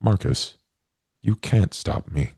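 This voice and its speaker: A man's voice: deep voice, animated, takes it slowly, vocal fry, dark tone, husky, no room sound, studio quality, exaggerated voice. A man playing a naive, blunt vampire with stony skin in a tabletop game, speaking in stiff, plain, literal phrases. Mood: calm, resolute. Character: naive, blunt male stone-skinned vampire, earnest and literal.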